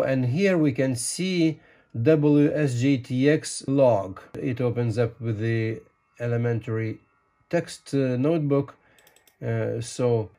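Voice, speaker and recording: A man in his sixties presents a hands-on tutorial in a mellow, relaxed voice. He takes his time, talking informally and leaning on key words, with folksy asides along the way. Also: medium pitch. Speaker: ham radio tutorial presenter. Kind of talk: informal how-to explanation. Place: small home radio room by a window.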